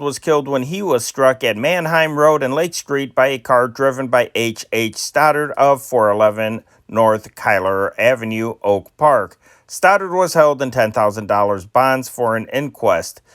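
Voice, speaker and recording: A man's voice: medium pitch, moderate pace, few pauses, fairly bright tone, no echo, clean studio sound. A man reading old newspaper stories aloud in a plain amateur style, stumbling now and then over names. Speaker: newspaper reader.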